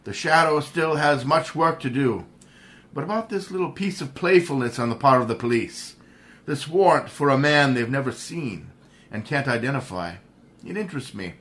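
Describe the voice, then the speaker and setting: An actor playing a suave, wealthy crime-fighter in a 1930s-style radio drama, smooth and confident. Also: male voice, medium pitch, lively intonation, moderate pace, a bit bright, close to an everyday voice. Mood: breezy.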